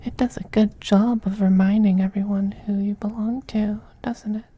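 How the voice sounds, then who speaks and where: A man playing a possessive, teasing femboy vampire in an intimate ASMR roleplay, speaking softly and breathily, often in a whisper, with coaxing, condescending affection. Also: sits high, level tone, moderate pace, dull audio. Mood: possessive, affectionate. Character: possessive, teasing, doting femboy vampire master styled as mistress.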